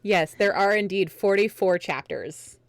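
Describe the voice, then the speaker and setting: A woman's voice: medium pitch, a little slow, a crowd in the background. A woman reading fan fiction dramatically and chatting casually with her co-readers between lines. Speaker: reader in a livestreamed group fanfiction reading.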